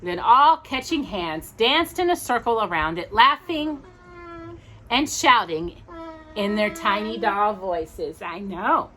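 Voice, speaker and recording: A woman reading a storybook aloud for children, expressive and gentle, with chatty asides. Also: mid-range voice, takes it slowly, a little hiss or hum, rough recording.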